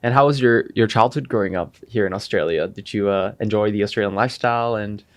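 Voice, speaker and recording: A man's voice: lowish voice, quick pace. A man hosting a podcast interview, friendly and casual, with some "uh" and "you know.". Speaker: podcast host.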